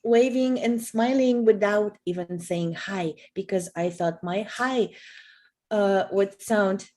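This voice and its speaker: A woman chats on a live stream in a warm, mellow voice. She speaks casually and leans on fillers like "like" and "okay". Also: mid-range voice, slow. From Poland. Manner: chatty, with many "like" and "okay" fillers.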